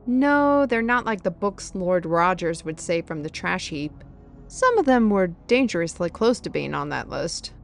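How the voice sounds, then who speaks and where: A woman's voice: fairly low pitch, lively intonation, unhurried, creaky, soft background music. A woman playing a knowledgeable fantasy companion, explaining lore in an earnest, wordy way in an audio roleplay. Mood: earnest, explanatory.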